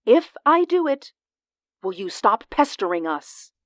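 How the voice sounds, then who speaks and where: An actress in a 1940s radio drama playing a frightened young woman who talks in a tearful, high-strung way. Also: sing-song, unhurried, no echo, studio quality. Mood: tense.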